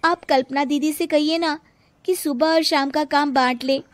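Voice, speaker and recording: A woman's voice: high voice, fairly even intonation, normal speed, pitched above the performer's own voice, natural voice. A woman voicing a polite, tired young daughter-in-law asking for help. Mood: pleading. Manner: soft, polite, pleading.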